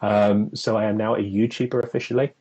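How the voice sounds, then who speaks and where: A man's voice: lowish voice, flat delivery, brisk, darkish tone, husky. A young man from England talking casually on a live stream, chatty and rambling, with lots of fillers and frequent laughter. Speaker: co-host.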